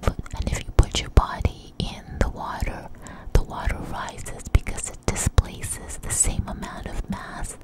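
A woman speaks in a breathy whisper, right up close to the microphone, as an ASMR host. She tells a personal story without hurry, pausing and repeating phrases as she goes. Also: low-quality recording. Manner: unhurried, halting, repeats phrases.

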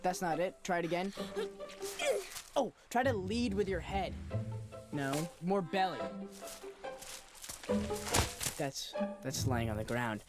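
Cocky adolescent sea-monster boy, youthful and very animated delivery, breezy know-it-all, cartoon film with music. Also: sits high, measured pace. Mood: exasperated coaching. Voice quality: youthful, boyish voice. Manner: boastful, casual, instructing.

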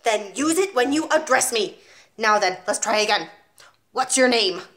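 A woman's voice: on the high side, expressive, moderate pace. A woman reading aloud, voicing a huge, tyrannical headmistress who barks and bellows at children. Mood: scornful. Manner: barking, loud, contemptuous.

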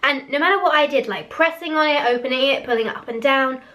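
Hoarse teen girl, fairly high voice, chatty and candid, bedroom webcam vlog. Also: quick pace, sharp tone. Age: teens. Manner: chatty, candid, a little giggly. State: hoarse, voice cracking after a cough. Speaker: vlogger reviewing products. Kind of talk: casual chat to camera. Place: small bedroom with posters on the wall. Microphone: webcam in front of her face.

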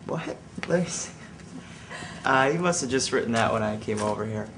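A man's voice: mid-range voice, lively intonation, normal speed, close to an everyday voice. An actor playing an earnest young male writer who talks hesitantly and awkwardly in an indie film drama. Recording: heavy background noise.

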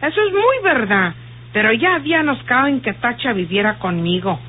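Suspicious country woman in a radio serial; husky, swooping delivery, folksy, insistent. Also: a little high, sing-song, a little fast. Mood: aggrieved, confiding. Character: jealous, gossipy, rustic woman confiding her suspicions. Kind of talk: old radio drama acting. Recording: muffled tinny old radio recording.

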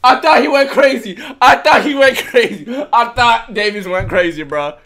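A man live-streaming his reactions with loud, jokey slang and frequent laughter. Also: extremely high pitch, normal speed. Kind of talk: casual live-stream commentary.

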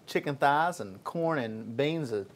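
A man's voice: medium pitch, animated, measured pace. A man hosting a cooking show in a station kitchen, upbeat, asking leading questions and saying "wonderful". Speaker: show host, firefighter.

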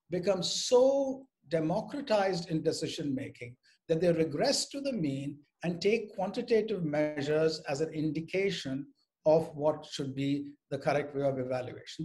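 Older male panelist, fairly high voice, formal and articulate, speaking over a video call. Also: a little mellow. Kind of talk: panel discussion remarks. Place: indoors at home, on a group video call.

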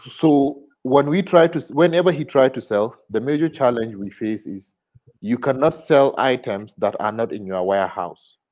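A man's voice: medium pitch, moderate pace, a little mellow. A man with a Nigerian accent asking an unscripted question as an attendee on an online webinar. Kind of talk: unscripted question on a group call. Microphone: video call. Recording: dull, lo-fi video call audio.

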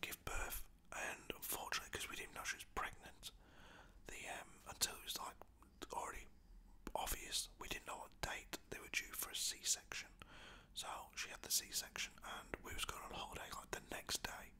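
Cheeky British whisperer, bright and breathy. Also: moderate pace. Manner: cheeky, chatty, slangy, mild swearing. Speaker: storyteller talking about own pets. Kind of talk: whispered casual storytelling, ASMR.

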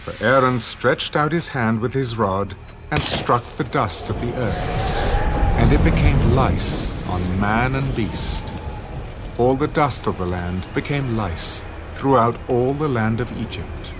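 A man narrating scripture in a scripted dramatic style, solemn and measured. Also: mid-range voice, animated, noisy background. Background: music.

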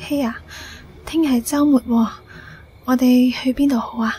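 A woman acting a frail, soft-hearted young woman who hides her love in a radio drama, with a Hong Kong Cantonese accent. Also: high voice, fairly even intonation, normal speed, a little hiss or hum, close to an everyday voice. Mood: tender, faint.

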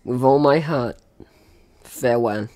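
A man's voice: medium pitch, takes it slowly, mellow. A man doing casual let's play commentary, with playful asides and game text read aloud. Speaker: gaming video host.

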